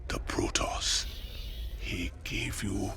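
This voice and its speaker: Cryptic mercenary, very low, slow, breathy whispers. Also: male voice, fairly even intonation, pushed and bright, full character voice. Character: mysterious, knowing male mercenary with psychic insight.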